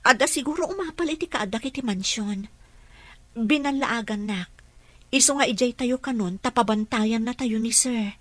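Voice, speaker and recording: A fairly high-pitched, earnest caregiver with an Ilocano accent. She is a protective mother who speaks with polite deference in a radio drama. The sound is close and very dry. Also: normal speed, a bit bright, no room sound. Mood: steady, serious.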